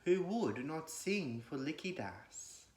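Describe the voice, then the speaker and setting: A man gives a solemn, declamatory, measured recitation of classical poetry. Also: on the high side, sing-song, a little mellow. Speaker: poetry reader. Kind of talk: dramatic recitation of classical verse. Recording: echoey room.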